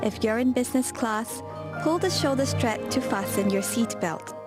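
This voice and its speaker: Loud music plays behind a woman's fairly high voice. She reads scripted safety instructions as the narrator of an airline video. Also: normal speed.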